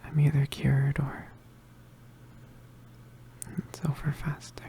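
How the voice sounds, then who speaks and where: Male actor as injured witch boy, ASMR roleplay, soft dark murmur, gravelly creak, halting, weary, pained. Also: mid-range voice, completely flat delivery, low-quality recording. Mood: weary, explaining. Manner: halting, hesitant, murmured, understated. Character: badly injured young male witch, weary and self-sacrificing.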